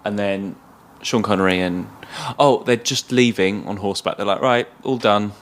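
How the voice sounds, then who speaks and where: A man's voice: fairly low pitch, moderate pace. A man co-hosting a podcast in casual film chat, understated and tentative, often trailing off. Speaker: podcast co-host.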